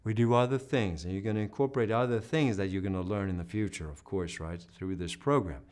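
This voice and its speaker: A man's voice: fairly low pitch, fairly quick, darkish tone. A man giving an online singing lesson, explaining patiently and checking in with "right?". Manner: demonstrates hoarse and humming sounds mid-explanation. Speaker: singing teacher. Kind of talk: instructional video lesson.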